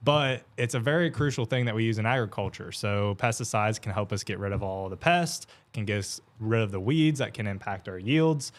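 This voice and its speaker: A man explaining farm science as a guest on a TV show. His voice sits on the low side, and he talks in an even, flat, steady way with little lift. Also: fairly quick, few pauses. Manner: self-described monotone, steady explaining. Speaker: extension educator guest. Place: TV studio.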